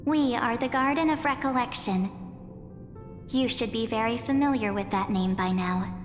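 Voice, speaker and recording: A woman's voice: fairly high pitch, normal speed, close to an everyday voice. A woman voicing an otherworldly being posing as a teenage girl in a video game, with a coaxing, composed and teasing manner. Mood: calm, persuasive. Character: memory entity posing as a cheerful teenage girl, coolly persuasive. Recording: echoey long reverb under clear music.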